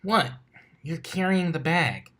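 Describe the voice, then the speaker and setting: A man's voice: on the high side, lively intonation, slow, a bit bright, slight background noise, voice pushed up. A man voicing a brash young female pegasus pony with a casual, dry, teasing manner in a story reading. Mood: playful.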